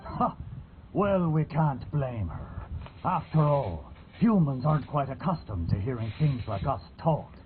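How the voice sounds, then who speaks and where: A man's voice: medium pitch, very animated, measured pace, noisy background. A man playing a jovial old talking boat with salty seafarer's phrasing in a video game. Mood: teasing. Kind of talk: video game character acting. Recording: tinny game capture, faint music.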